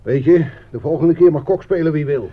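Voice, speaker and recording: An actor playing a sardonic, grumbling old warhorse of a soldier and field cook in a wartime radio play, talking in a colloquial way. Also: male voice, a little high, fairly quick, slightly rough. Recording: muffled narrow-band old radio recording, faint noise.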